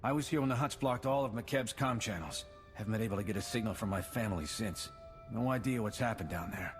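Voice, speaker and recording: A man's voice: on the low side, fast talker, faint music behind, close to an everyday voice. A man playing an earnest working shuttle pilot in a video game, talking in a casual, clipped, folksy way. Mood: worried, plucky. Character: earnest, awed working shuttle pilot, worried but plucky. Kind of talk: scripted video game acting.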